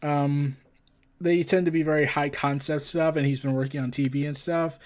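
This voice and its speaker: A man hosting a casual entertainment news roundup, rambling and halting, often tripping over his words and losing his thread. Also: a little high, steady tone, a little mellow. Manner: scattered, halting, often tongue-tied and losing track. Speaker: host of a comics and entertainment news show.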